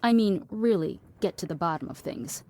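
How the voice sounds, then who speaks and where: A woman playing a cynical, blunt philosophiser in an audio drama, deadpan, wordy and dismissive. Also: fairly low pitch, normal speed. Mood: deadpan lecturing.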